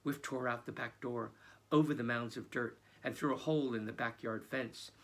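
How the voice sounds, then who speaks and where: A male children's book author reading his own novel aloud in an expressive storytelling manner. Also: medium pitch, moderate pace.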